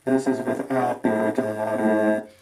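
A man's voice: fairly low pitch, flat delivery, normal speed, gravelly, loud music behind the voice, voice pushed down. A man speaking through a homemade vocoder that modulates the voice into a droning robot. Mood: flat demonstration. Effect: vocoder robot modulation with triangle wave.

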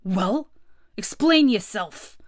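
A woman voicing a huge, fierce male gorilla in a storybook, forceful, demanding and impatient. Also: medium pitch, lively intonation, slow pace. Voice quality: big, forceful voice. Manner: blustering, demanding. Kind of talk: storybook read-aloud character voice.

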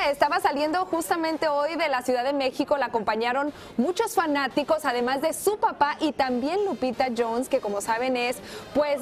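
A woman from Mexico hosts a TV news segment, trading brisk remarks with her co-host. Her voice is high and quick. Faint music sits behind her. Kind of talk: TV news chat. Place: TV studio.

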